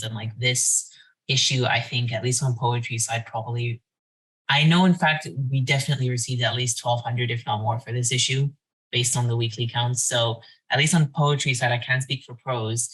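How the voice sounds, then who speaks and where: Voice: mid-range voice, steady tone, normal speed, a bit bright, rough recording. An editor speaking casually in an earnest, informal way, with repeated emphasis on key words. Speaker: poetry editor. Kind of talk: casual spoken remarks of thanks.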